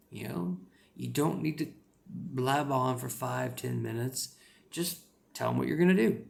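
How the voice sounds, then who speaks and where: Gravelly rural American man rambling advice. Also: fairly high pitch. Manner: rambling, full of "you know" fillers. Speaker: English teacher giving career advice. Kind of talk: casual advice vlog.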